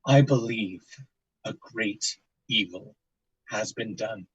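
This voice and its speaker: An actor playing a grave investigator, speaking formally and deliberately as he questions and narrates. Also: fairly low pitch, husky, no room sound, lo-fi sound. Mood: solemn. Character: grave, measured male investigator recording testimony of tragedy. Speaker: interviewer and narrator. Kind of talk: solemn dramatic monologue and questioning.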